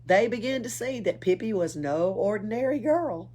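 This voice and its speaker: A woman's voice: mid-range voice, expressive, moderate pace, darkish tone, breathy edge. A woman reading a children's story aloud as a library storyteller. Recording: faint background noise, room ambience.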